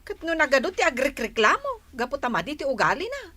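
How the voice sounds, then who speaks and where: Excitable, high, bright village woman. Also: fairly quick, heavily acted. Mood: resentful, scornful. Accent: Ilocano. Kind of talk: Ilocano radio drama acting.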